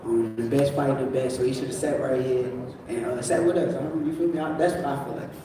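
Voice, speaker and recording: A male boxer giving blunt, laid-back answers with many pauses. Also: medium pitch, fairly even intonation, noisy background. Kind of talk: press conference answers. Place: large event room. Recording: echoey room picked up from far off.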